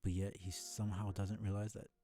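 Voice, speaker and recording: A man's voice: fairly low pitch, flat delivery, brisk, noisy background. A man streaming a video game with casual, argumentative and sardonic running commentary. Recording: game music behind the voice.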